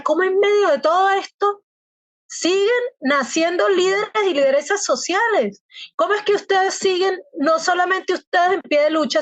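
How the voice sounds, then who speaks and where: A woman's voice: high-pitched, no room sound. A woman born in Colombia and raised in Venezuela, giving impassioned, emphatic interview answers full of rhetorical questions. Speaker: guest analyst.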